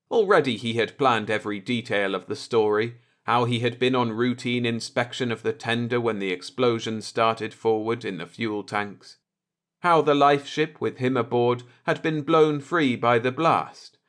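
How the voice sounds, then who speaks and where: Man narrating a story, medium pitch. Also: a bit bright. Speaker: audiobook narrator. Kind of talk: scripted dramatic story narration.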